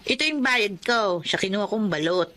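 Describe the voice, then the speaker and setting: A woman's voice: mid-range voice, expressive, moderate pace, pushed and bright. An actress playing a nosy but consoling neighbor woman in a radio drama. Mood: friendly. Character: chatty older neighbor woman, comforting.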